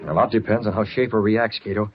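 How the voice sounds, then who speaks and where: An American actor playing a resolute publisher and secret vigilante, brisk and authoritative, in an old radio adventure. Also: male voice, on the low side, flat delivery, over loud music, close to an everyday voice. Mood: grave, determined. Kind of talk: 1930s-40s American radio drama acting. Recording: muffled vintage radio recording.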